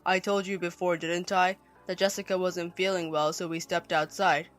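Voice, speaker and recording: A woman voicing a callous, defensive older husband with a gruff, dismissive manner in an audio story. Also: on the low side, flat delivery, normal speed, soft background music, pitched below the performer's own voice, natural voice. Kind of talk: audio story character acting.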